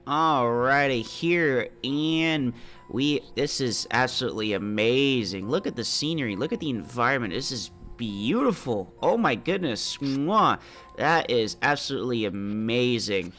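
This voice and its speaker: A man's voice: medium pitch, animated, unhurried, a bit bright, soft background music. A man with a stuffy, congested nose, chatting casually as host of a let's play. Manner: chatty with fillers and laughs. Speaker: gaming channel host.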